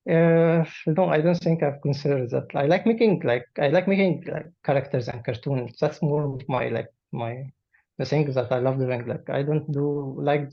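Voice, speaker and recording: A man's voice: on the high side, gravelly, lo-fi sound. A young Egyptian man in his twenties, a native Arabic speaker, chatting hesitantly as an interview guest, with many fillers and restarts. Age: 20s. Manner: hesitant, heavy use of "like", frequent restarts and laughs. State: a little nervous. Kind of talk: casual interview chat.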